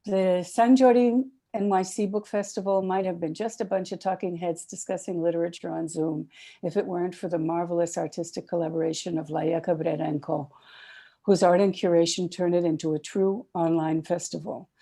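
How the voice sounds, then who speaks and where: A woman hosting an online festival, giving opening remarks and introducing the program in measured, formal phrasing. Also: on the low side, steady tone, dark tone.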